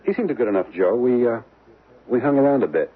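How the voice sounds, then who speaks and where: An actor playing an easygoing, skeptical press photographer and teasing husband in a radio mystery, with husky, slangy, halting speech. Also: male voice, mid-range voice, animated, close to an everyday voice. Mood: casual, impatient. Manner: slangy, casual, halting phrases. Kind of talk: radio mystery drama acting. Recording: thin phone-like old radio recording.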